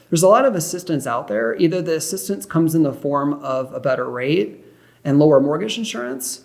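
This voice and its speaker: A young man talks a client through mortgage choices in a cheerful, encouraging tone, dropping in quick affirmations as he goes. His voice sits slightly high and has a gravelly edge. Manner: upbeat, reassuring, explains step by step; frequent affirmations like perfect and great. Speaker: mortgage loan officer. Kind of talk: one-sided mock phone consultation.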